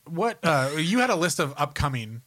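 A man's voice: fairly high pitch, sing-song, normal speed, a bit bright. A man speaking as a podcast co-host in casual, enthusiastic, slangy chat about films and games.

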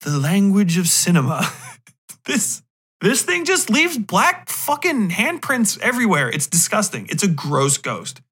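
A man's voice: high-pitched, huge pitch swings, a little slow, fairly bright tone, slightly rough. Exasperated man ranting casually. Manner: sarcastic, exasperated, emphatic, swearing freely. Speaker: podcast host. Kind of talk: casual profane movie rant.

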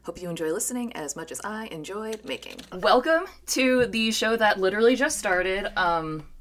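A woman in her thirties hosting a podcast and chatting casually, talking in quick bursts. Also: medium pitch, animated, fairly bright tone. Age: 30s. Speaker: podcast host. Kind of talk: casual interview chat.

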